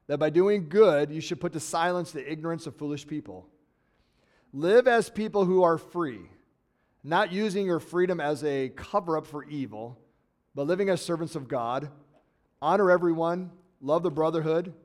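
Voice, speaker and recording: A man with an American accent preaching a conversational sermon, reading scripture aloud and explaining it earnestly. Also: on the high side. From United States. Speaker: pastor.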